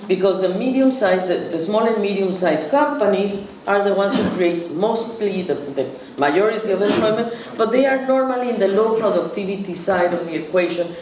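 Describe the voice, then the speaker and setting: A woman's voice: mid-range voice, darkish tone, slight background noise. Echoey, emphatic Spanish-accented woman in her 60s. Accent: Latin American Spanish. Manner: emphatic, rhetorical questions. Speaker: panel speaker. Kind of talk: conference panel remarks. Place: conference room. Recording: echoey hall from far off.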